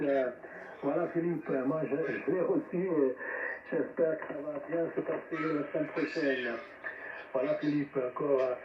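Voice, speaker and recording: Male ham radio net host, friendly, fairly high voice, thin distant radio sound. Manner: friendly, thankful. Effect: amateur radio transmission. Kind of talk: casual ham radio exchange. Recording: narrow-band radio reception, roomy, distant, faint noise. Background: faint radio noise.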